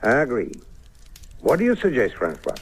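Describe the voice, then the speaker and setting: An actor playing a hungry, sardonic, pun-loving painter in an old radio drama, theatrical and droll. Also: male voice, mid-range voice, huge pitch swings, normal speed, slightly rough, exaggerated voice. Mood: wry.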